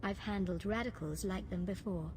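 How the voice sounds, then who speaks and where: A woman playing a cold, sadistic prison commandant in a science fiction audio log, speaking in a measured, clinical, menacing way. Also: medium pitch, a little mellow, slight background noise, lightly acted. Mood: cold, smug. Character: cold, sadistic female prison commandant of an imperial intelligence facility.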